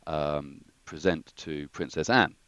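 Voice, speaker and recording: A man's voice: a bass voice, slow, fairly bright tone, dry recording. A man, a guest engineer, talking through his career in a hesitant, thoughtful way with many restarts. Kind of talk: casual interview conversation.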